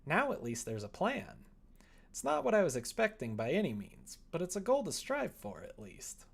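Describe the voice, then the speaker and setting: A man's voice: on the high side, wildly swooping pitch, moderate pace, gravelly, slightly rough, pitched above the performer's own voice, heavily acted. A man voicing an easygoing, aimless but kind young woman in a visual novel, slightly sheepish. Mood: casual, sheepish.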